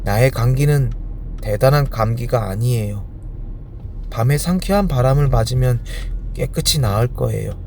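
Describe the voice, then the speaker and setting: A man's voice: mid-range voice, normal speed, a little mellow, slight background noise, pitched above the performer's own voice, natural voice. A man voicing a proud, vain female flower with a haughty, delicate manner that hides tenderness. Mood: proud, wistful.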